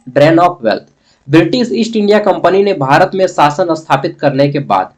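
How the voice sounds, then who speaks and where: Higher-pitched Jharkhand man teaching informally. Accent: Jharkhand Hindi. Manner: conversational, repeats key terms, asks himself questions and answers them. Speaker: history teacher. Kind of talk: informal exam-prep lesson.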